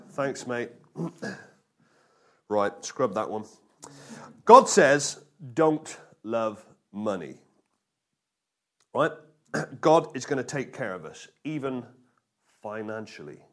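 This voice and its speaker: A man preaching an informal sermon to a congregation, colloquial and jokey, often checking in with short questions. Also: mid-range voice.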